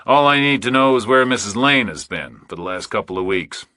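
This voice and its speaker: A man's voice: mid-range voice, lively intonation, brisk, sharp tone, no room sound, voice pushed up. A man reading the part of a shrewd, laconic ex-military investigator in an audiobook thriller, dry, blunt and probing.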